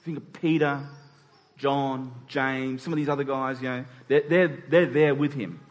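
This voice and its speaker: A man's voice: medium pitch, fairly even intonation, unhurried. A man preaching a conversational sermon in an informal way, acting out dialogue in everyday words. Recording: long hall reverb.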